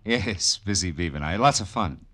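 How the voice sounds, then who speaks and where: A man's voice: low-pitched, animated, normal speed, fairly bright tone. An American man acting a laconic, wry private detective in a 1940s-style radio drama. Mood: smooth, guarded. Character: wry private detective, male, posing as a newspaper reporter. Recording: hissy vintage radio recording.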